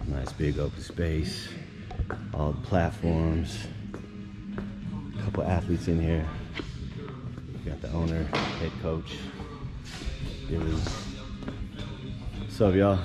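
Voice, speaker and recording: A man casually narrating a vlog as he tours his weightlifting gym, relaxed and chatty. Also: rumbling low, slow, pauses often. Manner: relaxed, chatty, laid-back. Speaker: vlogger touring his gym. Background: music.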